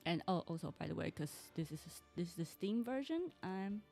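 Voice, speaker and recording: A woman, a Mandarin speaker, chats through a game stream in a low voice with a gravelly edge. She rambles and restarts her sentences as she goes. Soft game music plays faintly behind her. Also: expressive, a little fast. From Taiwan. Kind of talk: casual game stream chat.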